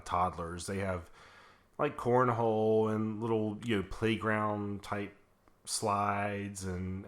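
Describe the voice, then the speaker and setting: A man hosting a podcast, chatting casually in a slightly hoarse, raspy voice, rambling with lots of fillers. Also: lowish voice, fairly bright tone. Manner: rambling, many fillers and restarts. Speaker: podcast host. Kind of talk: casual chat.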